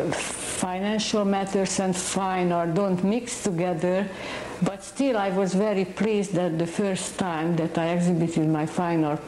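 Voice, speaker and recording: A painter from Hungary, a woman speaking English with a Hungarian accent, talks about her art in a halting, searching way. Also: lowish voice, a little mellow, reverberant, a little hiss or hum, rough recording. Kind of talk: interview monologue.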